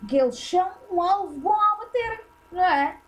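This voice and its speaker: A woman's voice: very high voice, animated, moderate pace. A woman with a European Portuguese accent, hosting a casual reaction video and giving blunt, opinionated commentary full of fillers.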